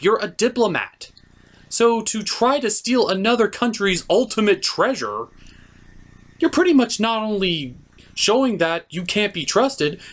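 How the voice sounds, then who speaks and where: A woman delivers a comedic movie recap in a sardonic, exclamatory way. Her pitch swings widely as she makes each point. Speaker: puppet show reviewer. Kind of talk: comedic movie recap with acted character voices.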